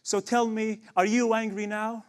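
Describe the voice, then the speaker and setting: High-voiced man performing poetry. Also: flat delivery, a little slow, clear, resonant tone. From Palestine, West Bank. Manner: impassioned, rhetorical, repeated refrains. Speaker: spoken word performer. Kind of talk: spoken word poetry.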